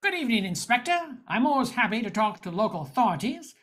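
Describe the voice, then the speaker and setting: A woman's voice: a little high, sing-song, fast, a bit bright. A woman playing a wry nightclub owner who narrates a space noir in an amateur radio play. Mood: evasive, wry.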